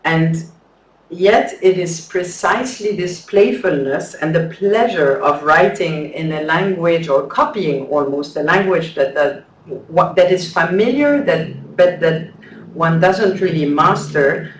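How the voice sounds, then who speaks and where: A man presenting an academic paper at a conference, in a measured, careful way with some hesitant restarts. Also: fairly high pitch, a bit bright, slight background noise. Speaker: conference speaker. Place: lecture hall. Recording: echoey hall, distant mic.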